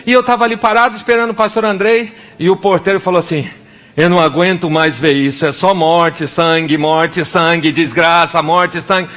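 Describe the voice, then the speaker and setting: A young Brazilian man with a Brazilian Portuguese accent preaching a sermon as pastor, animated, acting out little scenes and prayers in different voices. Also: on the high side, moderate pace.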